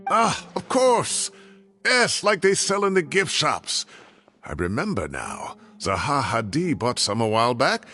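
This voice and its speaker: A polite, genial male professor. His voice is bright and animated in mid pitch. Faint game music plays behind. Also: measured pace, heavily acted.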